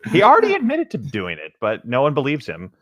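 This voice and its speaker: A man's voice: medium pitch, huge pitch swings, fast talker, fairly bright tone, no room sound. A man co-hosting a comedy podcast, bantering casually in a joking, playfully contrary way while reading and riffing on a story.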